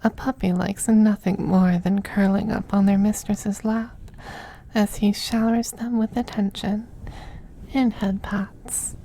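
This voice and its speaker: A man playing a possessive, teasing femboy vampire in an intimate ASMR roleplay, speaking softly and breathily, often in a whisper, with coaxing, condescending affection. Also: high voice, flat delivery, takes it slowly, gravelly, heavily acted, poor audio. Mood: coaxing, doting. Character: possessive, teasing, doting femboy vampire master styled as mistress.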